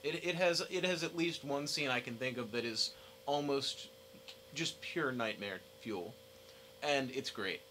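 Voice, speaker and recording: A man's voice: mid-range voice, measured pace, bright, forceful tone, slight background noise, low-quality recording. A young man hosting a casual podcast discussion. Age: young adult. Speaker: podcast host.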